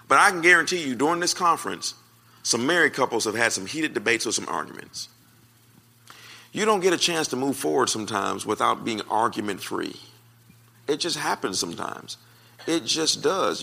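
Gravelly, forceful American male preacher. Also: mid-range voice, lively intonation, moderate pace. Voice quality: naturally loud voice. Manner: folksy, emphatic, repeats phrases for effect. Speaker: preacher answering questions at a marriage conference. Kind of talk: conversational sermon with question and answer.

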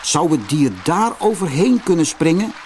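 Man playing a polite, high-voiced little boy. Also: animated, moderate pace, a little mellow, a little hiss or hum, natural voice. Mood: wondering. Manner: polite, eager, earnest. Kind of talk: storybook character voice.

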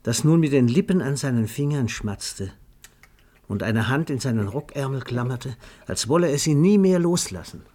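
A man narrating a literary radio play in a measured storytelling manner. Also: mid-range voice, animated, mellow.

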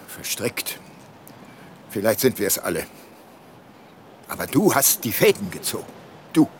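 An actor playing a brooding, resolute older detective in a radio drama, speaking gravely and deliberately. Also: male voice, lowish voice, very animated, slight background noise. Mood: accusing. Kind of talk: radio drama dialogue and first-person narration.

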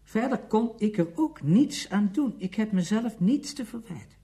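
An actor playing a defensive, self-excusing bachelor in a radio drama, talking in a hesitant but emphatic conversational way. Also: male voice, high-pitched, animated, normal speed, warm tone.

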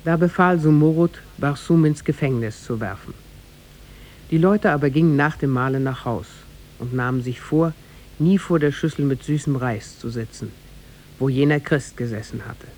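A man narrating a tale in a scripted, measured and formal 1950s radio drama style. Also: a little high, darkish tone. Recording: noisy 1950s radio recording.

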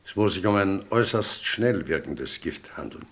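A man's voice: sits low, lively intonation, normal speed. A man playing a respectful, matter-of-fact police doctor in an old German radio crime drama.

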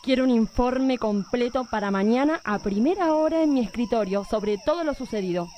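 An actress playing a stern, exasperated police chief in satirical radio theatre, curt and bossy, with an Argentine accent. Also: female voice, on the high side, moderate pace, warm tone, slight background noise, lightly acted.

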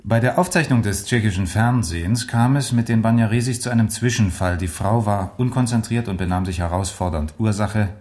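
Man acting as a cop reading out findings, lowish voice, dry official tone, radio crime play. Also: fairly quick, hardly any pauses, darkish tone, close to an everyday voice. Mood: matter-of-fact. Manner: factual, clipped, official. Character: methodical male police officer giving a report. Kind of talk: 1980s radio drama, official report.

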